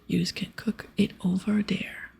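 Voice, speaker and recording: A woman's voice: mid-range voice, level tone, unhurried, gravelly, breathy edge, full character voice. A woman voicing a demanding, food-loving ogre from a fantasy game, whispering in childlike broken grammar. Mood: bossy, hungry. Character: gruff, demanding ogre who loves food. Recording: soft music behind.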